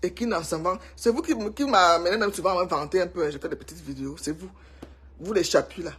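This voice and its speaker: Cameroonian woman vlogger, husky breathy voice, excitable, chatty gossip to camera. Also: lowish voice, fast. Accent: Cameroonian French. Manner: emphatic, excitable, repeats herself for effect. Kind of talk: casual gossip monologue to viewers.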